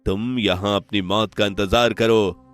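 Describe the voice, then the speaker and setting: A man voicing a greedy, scheming young prince in an animated story, gloating and menacing. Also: on the low side, measured pace. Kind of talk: animated moral story voice acting.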